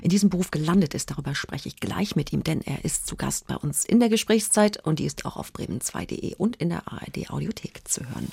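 A woman's voice: low-pitched, animated, fast talker, gravelly, few pauses, darkish tone, husky, no echo. A woman hosting a conversational radio interview, speaking into a close microphone in a studio.

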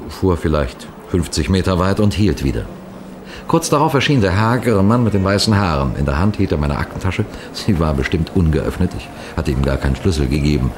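A man playing a composed, clever police detective, recounting a case in measured, precise radio mystery narration. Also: low-pitched, darkish tone, a little hiss or hum. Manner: measured, precise, deliberate storytelling.

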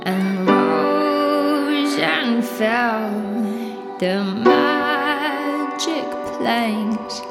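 A woman singer performing a poem as a slow art song over musical accompaniment. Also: sits high, over loud music. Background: prominent musical accompaniment.

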